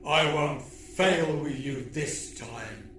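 Man playing a snarling, imperious alien commander, pitched up. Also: drawn-out words, a bit bright, reverberant, recorded from a distance, a little hiss or hum. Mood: smug menace. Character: pompous, menacing male alien commander, quick to rage.

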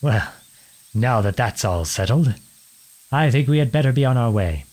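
A man acting a charming, teasing young outlawed prince in an ASMR-style romance roleplay, with a husky voice and a courtly, playful manner. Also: medium pitch, expressive, normal speed, a little mellow, close to an everyday voice. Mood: brisk, commanding. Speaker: audio roleplay performer.

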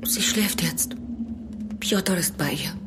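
An actress in a German horror radio play as a fearful young mountain woman, hushed, sorrowful and resigned. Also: on the low side, slow pace, in a whisper, loud music behind the voice. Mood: frightened, sorrowful.

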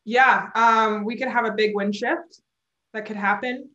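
A woman's voice: a little high, fairly even intonation, a little slow, pushed and bright, dull audio. A young woman from Barbados, a sailor, chatting as a guest in a remote video interview, explaining with gestures and laughing often. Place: indoor room at home. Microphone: video call. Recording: roomy video call audio.

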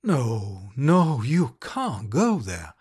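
A man's voice: mid-range voice, big pitch swings, drawn-out words, a little mellow, slightly rough, pitched below the performer's own voice, full character voice. A man reading aloud, voicing an agitated young noblewoman who pleads urgently with a guest.

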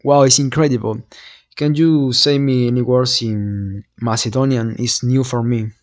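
Ein junger Mann, dessen Muttersprache Spanisch ist und der in einem kleinen Zimmer locker in ein Handmikrofon Fremde nach ihren Sprachen fragt. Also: male voice, mid-range voice, fairly even intonation. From Peru. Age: young adult. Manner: friendly, asks quick questions. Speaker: host. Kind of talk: casual video chat with strangers. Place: small room indoors. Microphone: handheld microphone.

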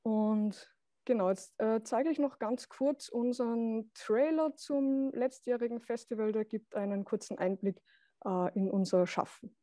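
A young woman presents a project over a video call. She speaks slowly and hesitantly, in a soft-edged, mellow voice. The sound is dry, with little room in it. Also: a little high, dry recording. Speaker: presenter of an art and culture project. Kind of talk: online presentation. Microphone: video call microphone.

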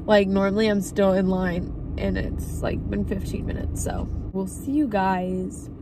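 A woman's voice: mid-range voice, flat delivery, measured pace. A young woman, vlogging casually to a phone camera from her car, sounding tired and congested. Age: young adult. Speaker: vlogger, cosmetology student. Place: parked car by a road. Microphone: phone camera on the dashboard. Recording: phone audio with clear traffic noise. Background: traffic noise outside.

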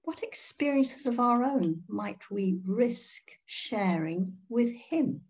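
An older woman giving a reflective sermon in a measured way, pausing often and posing questions to her listeners. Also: a little high, animated, darkish tone. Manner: measured, with pauses and rhetorical questions. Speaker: priest preaching. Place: indoor room at home. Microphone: computer mic on a video call. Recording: dull, muffled video-call audio.

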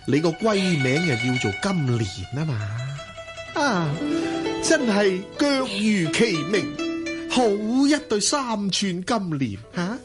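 A man with a Hong Kong Cantonese accent playing a smooth, lecherous, wealthy merchant in a radio drama, coaxing and flirting. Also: high voice, big pitch swings, slow, loud music behind the voice, heavily acted. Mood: flirtatious coaxing.

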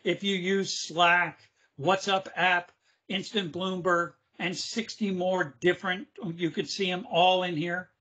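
Male webinar presenter on a video call, slightly hoarse, loose and chatty with fillers, high bright voice. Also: level tone, measured pace. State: losing his voice, hoarse. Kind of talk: casual screen-share demo.

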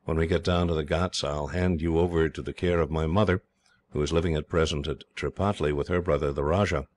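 A man's voice: a bass voice, steady tone, a little fast, a little mellow. A man voicing a cheerful young English officer who speaks heartily and reassuringly. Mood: hearty.

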